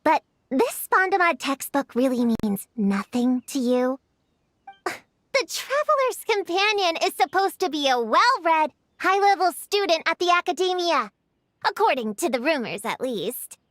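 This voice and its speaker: A woman's voice: very high voice, big pitch swings, unhurried, a bit bright, no echo, exaggerated voice. A woman voicing a defensive, self-important young girl witch hunter in a video game, using formal words with an indignant, bluffing manner. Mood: puzzled, haughty. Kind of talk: animated video game voice acting.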